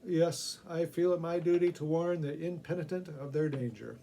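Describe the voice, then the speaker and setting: A man's voice: fairly high pitch, steady tone, moderate pace, mellow, a little hiss or hum, voice pushed up, lightly acted. A man voicing a very orthodox minister in a storybook tale, courteous, formal and solemnly earnest.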